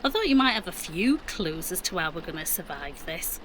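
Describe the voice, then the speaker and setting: A woman's voice: on the low side, sing-song, a little fast, fairly bright tone, a little hiss or hum. A middle-aged woman playing a defensive, practical mum in an audio drama, with a Yorkshire accent and matter-of-fact delivery. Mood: exasperated. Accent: Yorkshire English. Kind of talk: naturalistic audio drama acting.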